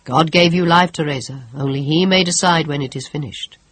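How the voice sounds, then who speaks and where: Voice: medium pitch, fairly quick, fairly bright tone, breathy edge. A reader voicing a stern village priest. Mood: solemn. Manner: solemn, admonishing.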